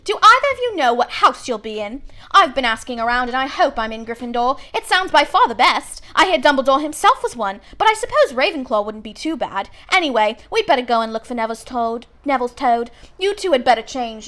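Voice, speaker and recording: Storybook schoolgirl, performed by a woman: high voice, bossy, eager, self-assured know-it-all. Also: sing-song, a little fast, sharp tone, exaggerated voice. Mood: know-it-all, excited.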